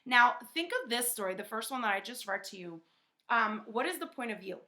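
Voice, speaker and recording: Female teacher for children's read-aloud lesson: bright, forceful voice, instructive, questioning delivery. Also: fairly high pitch, animated, brisk. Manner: instructive, asks questions, frequent checking tags.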